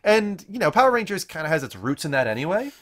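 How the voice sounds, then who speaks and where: A man's voice: sits high, big pitch swings, quick pace, fairly bright tone. Man casually hosting a gaming panel. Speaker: show host leading a panel. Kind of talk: casual video game panel chat.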